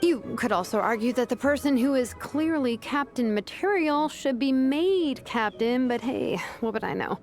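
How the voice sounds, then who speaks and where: A woman's voice: high voice, moderate pace, hardly any pauses, faint music behind. A woman voicing a laid-back, dry-witted young craftswoman with a fond big-sister manner in a video game. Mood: wry. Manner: casual, wry, slightly deadpan.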